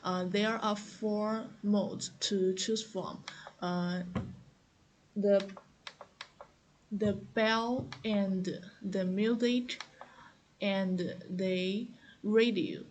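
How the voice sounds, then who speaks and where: Slow, halting woman demonstrating a product. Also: mid-range voice, steady tone, a little mellow, a little hiss or hum. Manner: halting, hesitant delivery with frequent repeats and fillers.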